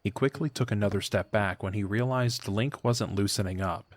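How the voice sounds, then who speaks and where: Low-voiced male fantasy audio drama narrator. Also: normal speed, dry recording, faint music behind.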